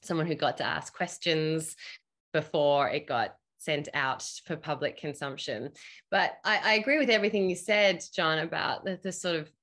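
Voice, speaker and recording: A middle-aged woman with a low voice speaks as a theatre director in a video-call discussion. She thinks aloud as she goes, shaping her points in conversation. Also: moderate pace, fairly bright tone. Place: room at home.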